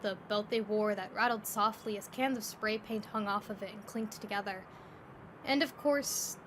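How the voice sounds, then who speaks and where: A woman narrating a scripted second-person story in an expressive, descriptive storytelling manner. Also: mid-range voice. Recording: faint background ambience noise.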